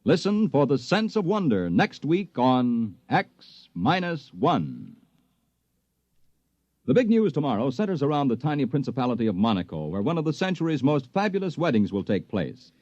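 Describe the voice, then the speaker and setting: Lively American radio announcer, dry vintage sound. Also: male voice, mid-range voice, normal speed, dry recording. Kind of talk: 1950s radio promo, scripted. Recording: dull, dry 1950s radio broadcast.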